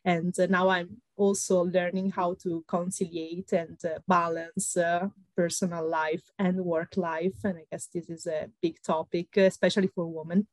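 A woman in her thirties with an Italian accent, answering interview questions thoughtfully with frequent pauses. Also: fairly low pitch, little pitch movement, darkish tone, no room sound. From Italy. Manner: thoughtful, many "uh" pauses, light laughs. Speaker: guest, pre-sales consultant.

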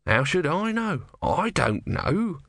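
Man voicing a grumpy, sardonic little tortoise god, animated. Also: fairly high pitch, takes it slowly, creaky, no room sound, clean studio sound, pitched above the performer's own voice, exaggerated voice. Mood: grumpy, evasive. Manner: evasive, sardonic, grumbling. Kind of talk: dramatised storybook reading.

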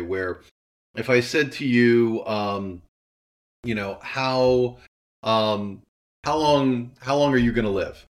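A man in a casual interview, explaining ideas articulately and posing rhetorical questions to build his point. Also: mid-range voice. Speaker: guest, writer and creator of an audio drama.

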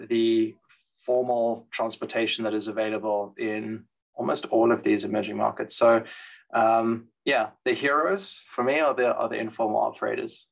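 A man answering interview questions in a thoughtful, measured way, with frequent fillers and restarts. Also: medium pitch, vocal fry, rough recording. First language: English. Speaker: guest, transit expert. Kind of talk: interview conversation.

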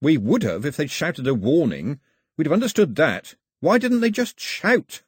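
A man reads a young island hero from a storybook, irreverent and stubborn. His voice is husky with lively pitch, and his dry retorts come out firm and defiant. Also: moderate pace, no room sound. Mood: challenging.

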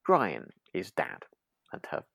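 A British man co-hosting a podcast in relaxed casual chat, musing aloud in a hesitant way. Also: takes it slowly, dry recording, studio quality. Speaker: podcast co-host.

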